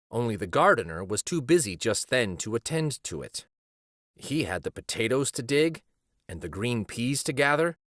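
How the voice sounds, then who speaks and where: Male storyteller, children's book narration, animated intonation, measured dramatic phrasing, dry studio-like sound. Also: medium pitch, dry recording. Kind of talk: expressive scripted storybook narration.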